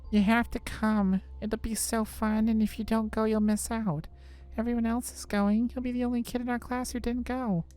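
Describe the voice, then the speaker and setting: A woman's voice: fairly high pitch, little pitch movement, moderate pace, warm tone, natural voice. A woman reading visual novel dialogue as a persuasive schoolgirl who coaxes and reassures a reluctant friend in a conversational way. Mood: eager coaxing. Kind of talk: acted visual novel dialogue read aloud. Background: music.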